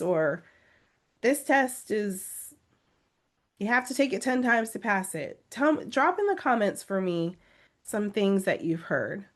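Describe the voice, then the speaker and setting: A woman coaching test-takers on a live video webinar, reading comments aloud and urging them on with emphatic repetition. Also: medium pitch. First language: English. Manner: encouraging, pleading, emphatic repetition.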